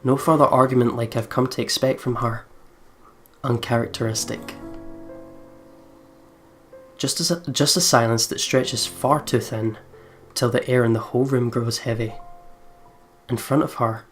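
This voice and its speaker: A man's voice: mid-range voice, steady tone, unhurried, long pauses, fairly bright tone, faint music behind. A man hosting a let's play, reading the story aloud expressively and commenting casually.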